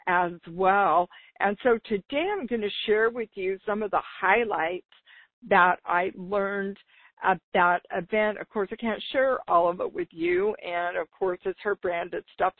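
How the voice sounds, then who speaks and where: A woman with a slightly raspy voice, talking informally and enthusiastically as the solo host of a business podcast. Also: medium pitch, no echo. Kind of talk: upbeat solo podcast talk. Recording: dull lo-fi radio-like sound.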